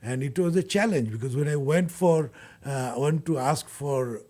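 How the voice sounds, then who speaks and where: A man with a Bangladeshi accent being interviewed, explaining his work earnestly and haltingly, often repeating words. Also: on the high side, dark tone. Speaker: guest, charity furniture bank leader.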